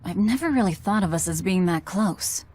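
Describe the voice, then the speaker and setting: A woman playing a cold, guarded young fighter in an anime game dub, blunt and deadpan. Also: lowish voice, normal speed, breathy edge. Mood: guarded, dismissive.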